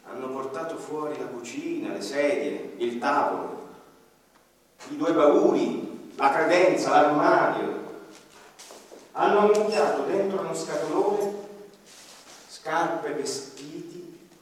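An actor playing an ordinary man who tells, slowly and wistfully, how his home was demolished, in a stage radio-theatre monologue. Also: on the high side. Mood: reflective. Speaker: first-person narrator in a radio play. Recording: echoey hall from far off, faint noise. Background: violin music.

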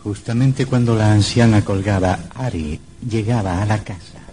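An actor narrating an old radio horror serial in a grave, deliberate, dramatic manner. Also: male voice, fairly low pitch, mellow, lightly acted. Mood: grave, suspenseful.

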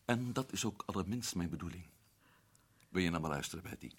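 A man's voice: low voice, lively intonation, fairly quick, husky. An actor playing an adventurous man back from Africa, telling his story earnestly and urgently in a radio drama. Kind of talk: 1960s radio drama acting.

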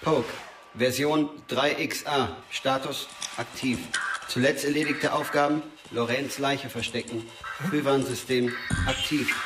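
A man's voice: mid-range voice, steady tone, measured pace, music in the background, natural voice. An actor playing an odd male informant who recites data like a terminal in clipped, impassive phrases, in a radio crime drama. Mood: impassive, reciting. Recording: roomy, distant, noisy with clicks.

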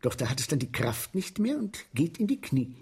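An actor in a radio play playing an obsequious, fervid sewer inspector who tells grisly tales with husky emphasis and deferential asides. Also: male voice, fairly high pitch, big pitch swings, normal speed, darkish tone, full character voice. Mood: gleeful. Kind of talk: 1970s German radio play acting.

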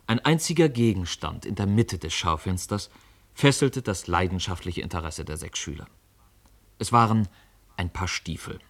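Deep-voiced male radio-play narrator. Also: a bit bright.

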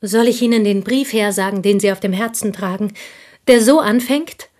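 An actress playing a bitter, confessing village schoolteacher in an intimate German radio play. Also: female voice, mid-range voice, moderate pace, a little mellow, lightly acted. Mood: fervent confession. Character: bitter, confessing French village schoolteacher, woman, jealous confidante.

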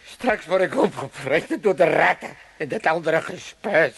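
Creaky, grumbling old man. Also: on the high side, lively intonation, normal speed, a bit bright, full character voice. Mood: aggrieved. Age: elderly. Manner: moaning, muttering complaints and oaths. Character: grumbling, self-pitying old Amsterdam father, locked away. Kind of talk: comic radio play acting.

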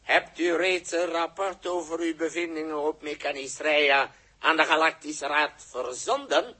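A formal alien interrogator in an old science-fiction radio play. He speaks slowly and ceremoniously in a raised, forceful voice with a rough edge. His manner is stiff and official. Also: fairly high pitch, steady tone. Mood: inquisitorial. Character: formal alien interrogator running a truth test. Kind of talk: 1960s science-fiction radio drama acting. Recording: muffled-edged 1960s radio recording.

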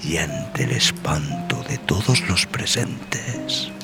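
A man giving a grave, dramatic scripted horror narration, with phrases that often sink into a breathy hush. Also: very deep voice, big pitch swings, slow. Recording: voice over loud music and rain.

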